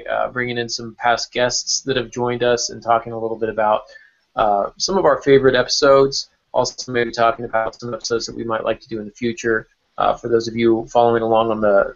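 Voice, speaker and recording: A man hosting a podcast roundtable in a casual, conversational way over an online call. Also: mid-range voice. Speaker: podcast host. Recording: dull lo-fi online call audio.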